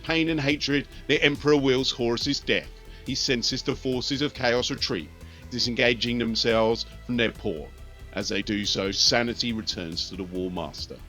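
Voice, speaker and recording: Male audiobook narrator, bright tone, measured dramatic read over soft music. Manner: measured, with sharp swings in pitch and loudness.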